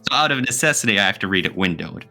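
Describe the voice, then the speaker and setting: Bright, low-pitched man co-hosting a podcast. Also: animated, very fast, faint music behind. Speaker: podcast co-host. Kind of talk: casual podcast chat about opinions.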